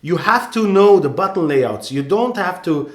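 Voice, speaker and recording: A man's voice: high voice, animated, moderate pace, echoey room. A man with a Hungarian accent, a native Hungarian speaker, giving earnest, rambling photography advice with many restarts and "you know"s in a casual vlog.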